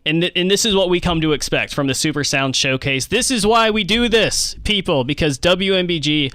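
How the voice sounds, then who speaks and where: Upbeat radio host guy, higher voice, really crisp and dry, effusive announcer delivery. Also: expressive, a little fast, bright, forceful tone, no echo. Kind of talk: upbeat radio presenting and interview.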